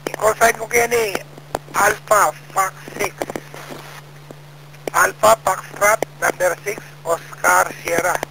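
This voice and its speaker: Filipino male radio amateur, high voice full of heavy creak, casual exchange over a clearly noisy link. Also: takes it slowly, long pauses. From Philippines, Pampanga region.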